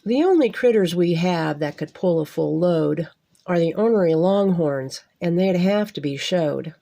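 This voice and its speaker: A woman's voice: lowish voice, expressive, a little slow, gravelly, warm tone, heavily acted. Woman reading as a plain-spoken, wary old cowhand. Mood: doubtful.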